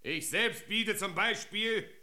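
An actor playing a brash, domineering male gold digger in a radio drama, declaiming loudly like a bullying auctioneer. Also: high-pitched, slow, full character voice. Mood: bossy, rousing.

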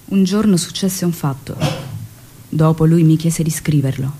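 An actress playing an earnest, stubborn young student turned guerrilla, in theatrical dialogue and recollection. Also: female voice, deep voice, measured pace, dark tone, slight background noise. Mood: reminiscing. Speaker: stage actor. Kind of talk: theatrical dialogue and remembered narration.

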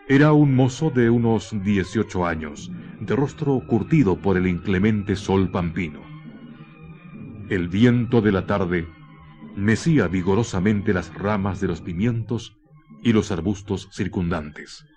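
A Chilean man narrating a scripted radio drama in a solemn, literary manner with measured phrasing. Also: fairly low pitch, a little mellow. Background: music.

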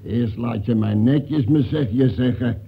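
A bloodthirsty villain in an old radio drama, rough and cunning. His voice is creaky and husky, his talk slangy and mockingly polite, all heard through a narrow, phone-like recording. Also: medium pitch, slow, full character voice. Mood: insolent, insistent. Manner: slangy underworld talk, insolent mock politeness. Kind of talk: radio play acting.

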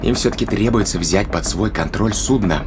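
An actor playing a stern, impatient spaceship officer who questions bluntly and reasons in formal phrases, in an audio drama. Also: male voice, fairly low pitch, normal speed, background noise, natural voice. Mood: analytical, measured.

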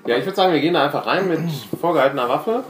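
A man's voice: fairly high pitch, animated, racing. A man talking casually as a player planning moves in a tabletop role-playing game. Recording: echoey room with faint noise.

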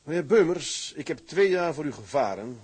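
An actor playing an ambitious, proud tugboat captain in a period drama, firm and businesslike. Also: male voice, a little high, animated, normal speed, darkish tone, husky. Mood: firm, persuasive. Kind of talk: acted period drama dialogue.

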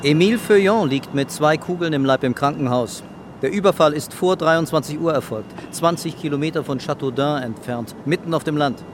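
Man acting police chief giving orders, slightly high male voice, firm, noisy car and street behind him. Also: brisk, close to an everyday voice. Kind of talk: radio drama acting. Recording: clear traffic and outdoor background noise.

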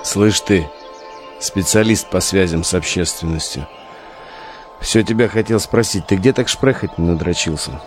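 A hardened street tough in an audio drama. He has a lowish voice and a wary, domineering manner, with crude and sardonic phrasing. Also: measured pace, soft background music. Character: hard, domineering, foul-mouthed gang tough, wary and sardonic.

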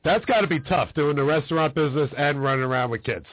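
A man's voice: a little high, quick pace, no room sound. A man hosting a radio show, chatting casually with guests. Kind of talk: casual chat, interviewing guests. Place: radio studio. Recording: narrow, phone-like radio audio.

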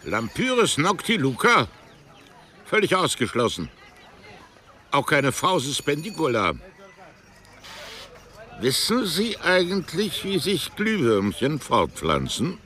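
A pedantic, brusque insect researcher in a radio drama. His voice leaps up and down, forceful and bright. He lectures with open condescension, a man with no patience for fools. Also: a little high, huge pitch swings, slow pace, pauses often, heavily acted. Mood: pedantic, lecturing. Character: cantankerous, pedantic male insect researcher with a stick. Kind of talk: radio play acting.

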